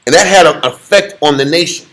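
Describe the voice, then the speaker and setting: A man's voice: fairly high pitch, a little fast, a bit bright, rough recording. A man leading a casual Bible study in a blunt, joking, slangy way.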